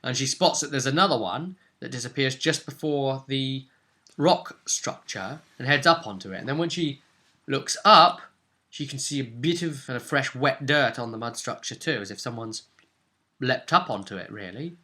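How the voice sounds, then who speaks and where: A man's voice: medium pitch, moderate pace, pushed and bright. A man casually recapping a tabletop role-play session as game master, rambling along and often seeking agreement with tag questions.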